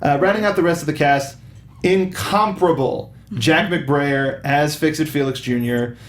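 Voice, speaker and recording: A man hosting a casual film review chat, animated and enthusiastic with halting phrasing. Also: fairly high pitch. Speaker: talk show host. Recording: clear background noise.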